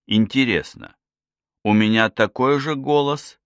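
A man's voice: mid-range voice, measured pace, fairly bright tone, clean tone, no room sound, clean studio sound. A man reading the part of an irritable, brooding old Frenchman in an audiobook. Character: bitter, irritable old Frenchman returning to his northern hometown.

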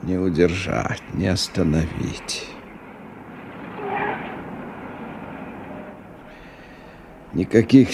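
Weary, deep, gravelly brooding man. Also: exaggerated voice. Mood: despairing. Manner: ruminative, halting, theatrical delivery. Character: weary, disillusioned middle-aged man brooding aloud. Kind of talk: radio drama interior monologue. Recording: 1990 radio recording with background noise.

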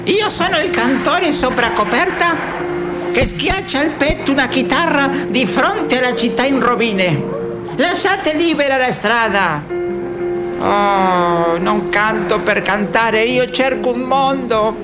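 Impassioned ship's cantor, poetic radio-theater declamation, highish voice over loud music. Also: female voice, lively intonation, takes it slowly, rough recording.

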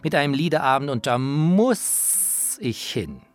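A man's voice: a little high, sing-song, measured pace, no room sound, soft background music. Man quoting an emphatic elderly opera-loving lady. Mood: musing, firm refusal. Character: busy, enthusiastic ninety-year-old lady opera lover.

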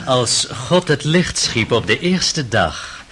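An actor playing a sly, insolent servant cook in a radio drama, politely servile and smugly pedantic. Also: male voice, medium pitch, sing-song, slow, bright, forceful tone, slight background noise, heavily acted. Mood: smug arguing. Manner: servile politeness over smug argument.

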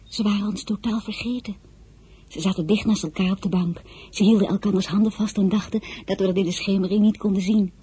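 A woman's voice: medium pitch, fairly even intonation, brisk, warm tone, slightly rough. An actress narrating as a young woman telling her own story in a confiding, wry way. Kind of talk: radio drama narration.

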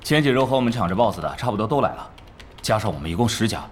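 An actor playing a dutiful guild scout who reports in a measured, deferential way, in a Chinese audio drama. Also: male voice, fairly low pitch, very animated, slight background noise. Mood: matter-of-fact.

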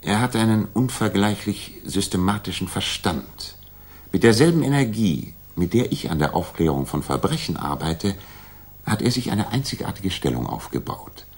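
Casting: sharp-minded Victorian detective, restless and theatrical, deep voice with rough grain. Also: male voice, expressive, moderate pace, exaggerated voice. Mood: explaining, dry. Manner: theatrical, incisive, articulate. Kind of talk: 1960s radio drama acting.

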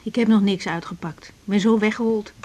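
An actress playing a young woman doctor in a radio drama, earnest and a little hesitant, with a slightly husky voice. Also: mid-range voice, fairly even intonation, brisk, darkish tone, close to an everyday voice. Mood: dryly assertive. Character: young female doctor, earnest, unsure but quietly assertive. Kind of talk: naturalistic Dutch radio drama dialogue.

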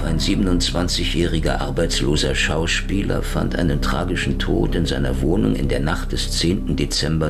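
An actor playing a hard-boiled, sardonic private detective in a radio drama, with a gruff, sarcastic way of talking. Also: male voice, deep voice. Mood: wry, weary. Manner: gruff, sarcastic, coarse tough talk.

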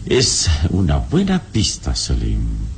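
A calm, fatherly adventure hero from an old Latin American radio drama. His voice is very deep and slow, with wide expressive swings as he reassures. Dramatic music plays behind him. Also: vocal fry, slight background noise, heavily acted. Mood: calm, deliberate. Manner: measured, calm, deliberate delivery.

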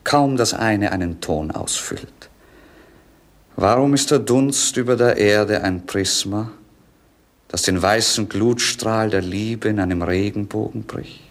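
A man's voice: on the low side, slow pace. A man playing a bored, brooding, self-mocking young prince in a 1950s radio play, declaiming lyrically and theatrically. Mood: brooding, world-weary.

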